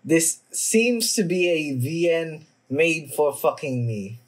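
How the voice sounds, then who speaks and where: Husky young man chatting casually. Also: a little high, big pitch swings. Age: young adult. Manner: informal, sweary, halting. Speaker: reaction video host. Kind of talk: casual anime reaction chat. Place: small indoor room at home.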